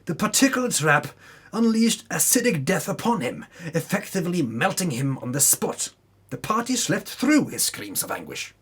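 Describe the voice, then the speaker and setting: A man's voice: high-pitched, big pitch swings, moderate pace, fairly bright tone, breathy edge. A man narrating a tabletop campaign recap as a dramatic storyteller, with theatrical delivery and casual asides.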